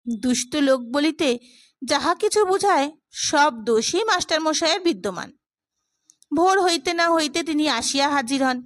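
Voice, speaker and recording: A woman's voice: sits high, expressive, normal speed, fairly bright tone, no room sound, studio quality. A woman narrating a literary short story as a scripted audiobook.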